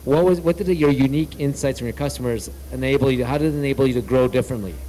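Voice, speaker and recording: A man's voice: mid-range voice, very fast, dark tone, slight background noise, rough recording. A Canadian man hosting an on-stage interview, asking questions casually with fillers. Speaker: interviewer host. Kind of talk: fireside chat interview. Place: event stage.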